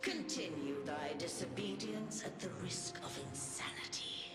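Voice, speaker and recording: An actress playing an imperious, menacing false goddess in a video game, with stately archaic speech. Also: female voice, fairly low pitch, unhurried. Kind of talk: dramatic video game voice acting. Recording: echoing reverb under loud music.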